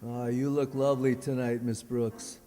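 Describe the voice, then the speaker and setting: An actor playing a timid, deferential schoolteacher with a hesitant, stammering manner in an old-time radio comedy. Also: male voice, medium pitch, little pitch movement, moderate pace, mellow, faint music behind, natural voice. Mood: shy, apologetic. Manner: stammering, meek. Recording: reverberant, distant stage sound.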